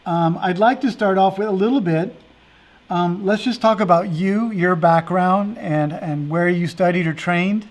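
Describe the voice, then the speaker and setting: A man's voice: high-pitched, measured pace. A man hosting a virtual festival and interviewing dancers, who talks in an earnest, meandering way full of fillers. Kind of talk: casual interview chat.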